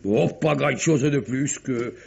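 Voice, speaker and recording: A man playing a folksy, rambling bistro owner in an old-style French radio mystery, with a gravelly, husky voice. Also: mid-range voice, takes it slowly, a little mellow, a little hiss or hum. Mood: garrulous. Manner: colloquial, rambling, exclamatory. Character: chatty, folksy male bistro owner recalling a drama. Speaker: actor. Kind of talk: 1950s French radio drama acting. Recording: muffled old radio recording.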